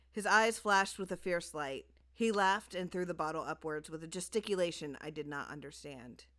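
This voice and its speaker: A woman's voice: fairly low pitch, a bit bright. A woman reading a gothic short story aloud as dramatic scripted narration. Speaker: story reader.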